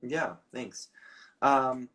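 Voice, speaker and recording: A man's voice: mid-range voice, drawn-out words, pushed and bright. A man hosting a live online interview, hesitant and full of ums as he asks his questions. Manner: hesitant, many ums and restarts. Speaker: interview host.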